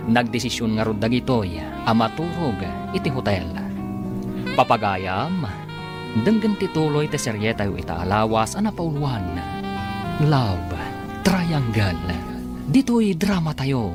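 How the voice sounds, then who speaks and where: A man's voice: medium pitch, very animated, measured pace. A man with a Filipino accent giving scripted radio drama narration and announcements. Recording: music bed behind announcer.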